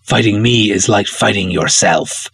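A man's voice: on the low side, unhurried, creaky, a bit bright, slightly rough, no room sound, full character voice. A man voicing a wicked undead Egyptian princess, haughty and murderous, in a pulp adventure story. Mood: haughty, menacing. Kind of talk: pulp adventure audiobook character voice.

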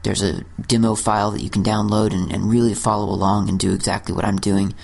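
A man closing a guest software tutorial podcast, explaining in a relaxed, instructive way. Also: fairly low pitch, fast, gravelly, husky.

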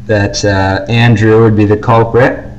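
A man's voice: fairly low pitch, little pitch movement, measured pace, lo-fi sound. A man co-hosting a podcast, talking casually as he discusses and gives his opinions. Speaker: podcast co-host. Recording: faint background noise.

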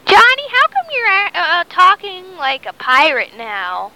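Woman as a very high-pitched timid storybook kid. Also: wildly swooping pitch, a little slow, pushed and bright, heavily acted, rough recording. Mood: pleading, innocent. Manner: pleading, innocent, hesitant. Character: timid, naive captive child in pirate story.

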